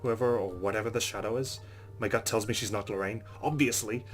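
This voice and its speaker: A man's voice: fairly low pitch, steady tone, fairly quick, soft background music. A man hosting a let's play, reading visual novel narration aloud and adding casual asides. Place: recorded voice-over with game music behind.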